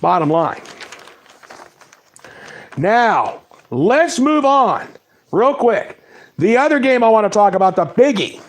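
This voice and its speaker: A man with a fairly high voice and a cold runs through game scores and player stats in a loose, casual monologue. He reels off the numbers in quick lists. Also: sing-song. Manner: reads stats in quick lists, apologizes for mispronouncing names. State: has a cold. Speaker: sports talk host. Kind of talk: casual sports recap monologue.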